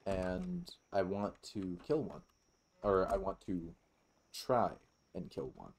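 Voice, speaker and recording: A man's voice: deep voice, expressive, takes it slowly, vocal fry, a little mellow. A man giving casual, rambling let's play commentary with some swearing. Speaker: gaming YouTuber.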